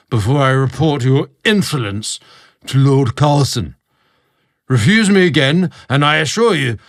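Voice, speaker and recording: A man's voice: mid-range voice, gravelly, voice pushed up, full character voice. A man voicing a rotund, pompous, belligerent British baron in a storybook narration, barking imperiously.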